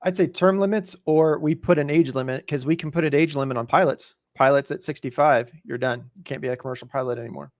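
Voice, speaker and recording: American man with a higher-pitched voice, dry sound. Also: quick pace, few pauses, a little mellow, no room sound. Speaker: podcast host commenting on news. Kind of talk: conversational news commentary, reading and dissecting an article.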